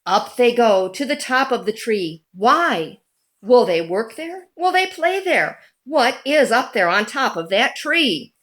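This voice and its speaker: Female storybook reader, higher-pitched, unhurried and expressive, deliberate read-aloud delivery. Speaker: children's book reader. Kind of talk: picture book read-aloud.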